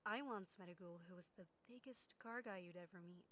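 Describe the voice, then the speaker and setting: A woman's voice: fairly low pitch, a little fast, a bit bright. A woman playing a friendly, geeky plane enthusiast in a post-apocalyptic video game, chatty and enthusiastic. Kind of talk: video game character dialogue.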